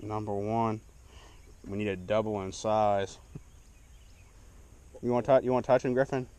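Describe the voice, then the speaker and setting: A man's voice: fairly low pitch, steady tone, normal speed, mellow, clear, resonant tone. A young man, chatting casually and joking at himself while fishing from a small boat on a lake, picked up by an action camera mic. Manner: laid-back, self-deprecating banter. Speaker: fishing vlogger. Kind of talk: casual outdoor fishing narration.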